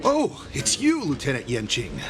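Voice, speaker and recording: A man's voice: medium pitch, huge pitch swings, normal speed, music in the background. A man voicing a fussy, flustered craftsman in a video game, officious and apologetic in manner. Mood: flustered, puzzled. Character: fussy, flustered craftsman worried about his work. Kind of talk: scripted video game dialogue acting.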